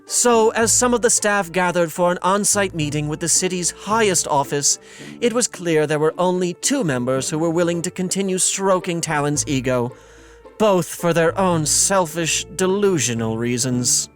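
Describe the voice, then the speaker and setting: An actor playing a wry, arch narrator, reading scripted narration for an audio drama. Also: male voice, on the high side, lively intonation, moderate pace, faint music behind, close to an everyday voice.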